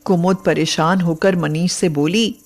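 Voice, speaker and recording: A woman's voice: on the low side, steady tone, unhurried. A woman narrating a scripted moral story as a storyteller.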